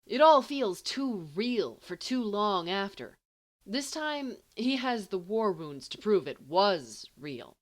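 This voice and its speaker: A woman reading a story as scripted narration, expressively and with deliberate, emphatic phrasing. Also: medium pitch, sing-song. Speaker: audiobook reader of fan fiction.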